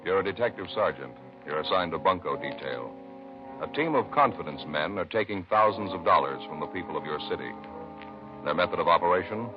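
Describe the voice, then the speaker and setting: A man's voice: low-pitched, animated, brisk, music in the background. A man working as a radio announcer in a punchy, solemn 1950s style, declaiming dramatic introductions and sponsor copy. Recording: muffled old radio broadcast.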